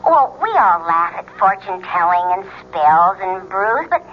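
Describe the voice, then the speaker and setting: An actress playing a breezy but cautious friend in a radio drama, chatty and wry with a wavering way of talking. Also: female voice, on the low side, sing-song, a little slow, lo-fi sound, a little hiss or hum. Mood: concerned, chatty. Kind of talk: 1970s radio drama acting.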